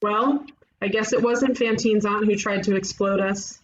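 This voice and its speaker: A woman voicing a cocky, excitable young amateur detective in a cartoon comedy, with an emphatic know-it-all manner. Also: medium pitch, measured pace, lightly acted. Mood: confident. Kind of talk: animated comedy table read. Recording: muffled, clearly noisy home recording.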